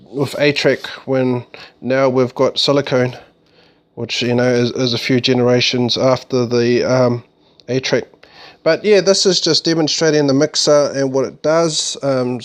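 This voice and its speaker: Man giving a halting, informal gear walkthrough. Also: medium pitch. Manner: halting, loosely worded, trailing explanations. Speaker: gear reviewer demonstrating a mixer amp. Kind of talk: informal unscripted product walkthrough.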